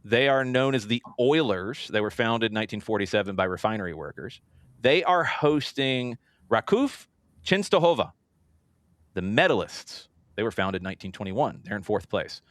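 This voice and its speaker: Man hosting soccer talk, lively pitch. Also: normal speed, no echo, studio quality. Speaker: soccer show host. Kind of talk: casual sports talk and match preview.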